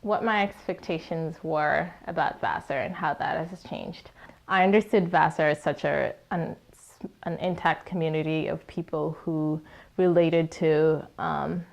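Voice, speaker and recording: A young woman from Kenya with a lowish voice answers interview questions at a thoughtful pace. She restarts her sentences now and then and speaks with care. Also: fairly even intonation, measured pace, creaky. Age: young adult. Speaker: college student interviewee.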